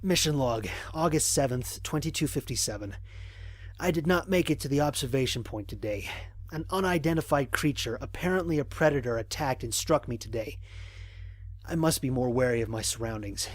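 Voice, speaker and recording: A man playing a curious, methodical space explorer in an audio drama, narrating his mission log in a measured, formal way. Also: medium pitch, animated, dry recording, natural voice. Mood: sober, wary.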